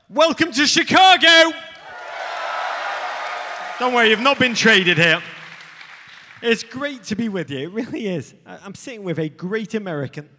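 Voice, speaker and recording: A man's voice: sits high, sharp tone, a little hiss or hum. A British man co-hosting a live stage interview with jokey, theatrical banter. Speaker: co-host interviewing a guest on stage. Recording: echoey theater hall with live audience.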